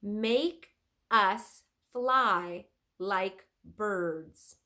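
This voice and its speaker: A woman's voice: medium pitch, very slow. A woman voicing an eager, insistent young girl adventurer in a storybook reading. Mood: excited. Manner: excited, insistent, exclaiming.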